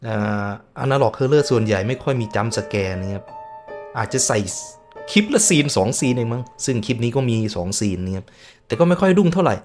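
A man's voice: medium pitch, lively intonation, brisk, darkish tone, soft background music. A man hosting a reaction video who mutters casual asides and reads on-screen text aloud as he watches.

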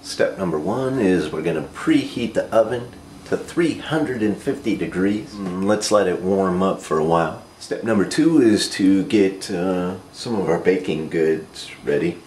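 A North American man with a low voice talks through cooking steps as an amateur host. His delivery is plain and unhurried. He is recorded on a camera mic in a roomy kitchen, with clear background noise. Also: a little mellow. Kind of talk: casual step-by-step cooking demonstration. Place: home kitchen, indoors. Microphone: camera microphone, a short distance away.

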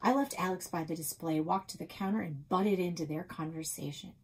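A middle-aged American woman reading a story aloud in a slightly husky voice. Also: lowish voice, a little fast, a little mellow. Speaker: librarian reading a children's novel aloud. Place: small indoor office.

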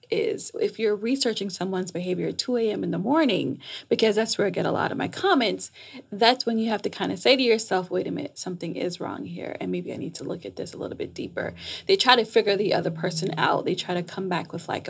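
A middle-aged woman talking conversationally as an interview guest, explaining things in an animated way with a slightly husky, creaky voice, close on a studio mic. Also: mid-range voice. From Jamaica, raised in New York. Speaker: guest, psychotherapist. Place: small foam-treated podcast studio. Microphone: close boom-arm studio microphone.

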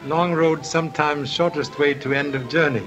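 An actor playing a calm, polite older male detective who speaks in terse, clipped sayings in a 1930s film. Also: on the high side, moderate pace, lo-fi sound, over music, natural voice.

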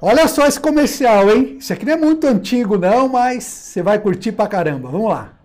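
A man's voice: high voice, lively intonation, a little fast, darkish tone. A Brazilian man in his forties hosting casually, slangy and emphatic, repeating words and checking that viewers agree. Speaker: host of a CB radio channel. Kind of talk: casual, chatty commentary to viewers. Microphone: lapel mic.

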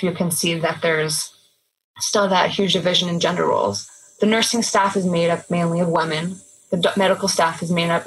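A woman's voice: lowish voice, a bit bright, slight background noise, rough recording. A woman in her thirties, an emergency nurse, talking casually. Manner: chatty, quick asides with fillers like "like". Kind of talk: casual interview chat.

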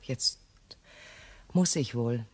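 An anxious widow in a crime radio play. Her voice sits low, and she speaks in a polite, restrained way, composed and measured despite her worry. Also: breathy edge, heavily acted. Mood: shaken, subdued. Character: anxious, composed recently widowed English shop owner.

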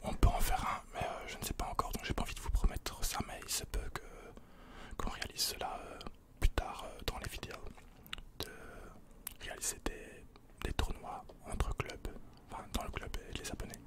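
A man, answering questions in an unhurried, breathy ASMR whisper close to the microphone. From Belgium. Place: small attic room indoors. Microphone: desk condenser microphone, close.

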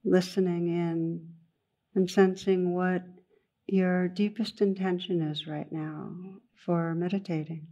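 A woman leading a guided meditation as a teacher, speaking gently and unhurriedly with long pauses between phrases. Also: lowish voice, fairly even intonation, dull audio.

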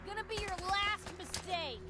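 A woman's voice: very high voice, animated, normal speed. An actress voicing a plucky, defiant woman companion in a video game, with a taunting, punchy manner. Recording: game audio under loud music.

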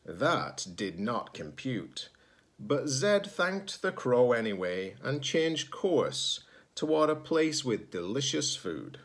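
Slow, husky man reading expressively. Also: mid-range voice. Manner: expressive, pausing to ask listeners questions. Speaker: teacher-librarian. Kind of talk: children's picture book read-aloud. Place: indoors, room at home with sloped ceiling.

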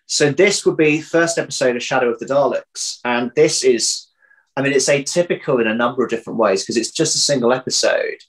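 A man in his thirties chatting casually as an interview guest over a video call, often pausing, repeating words and correcting himself as he thinks. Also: mid-range voice, poor audio. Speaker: interview guest, audio drama writer. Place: indoor room at home. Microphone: video call, computer mic.

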